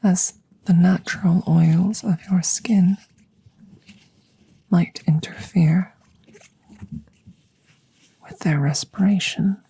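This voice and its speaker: Alien scientist, played by a woman: low, breathy near-whisper, very unhurried, formal and detached, close-miked ASMR roleplay. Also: steady tone, warm tone, heavily acted, low-quality recording. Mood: clinical, soothing. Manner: slow, formal, precise, detached phrasing. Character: curious, clinical alien scientist tending a rescued human. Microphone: close microphone, ASMR style.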